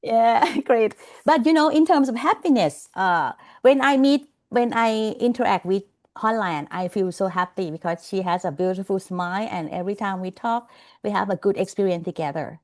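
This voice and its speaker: A woman's voice: medium pitch, normal speed, a little mellow. A woman giving an online class over a video call, chatty and laughing often, checking in with "okay?" and "you know". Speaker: lecturer.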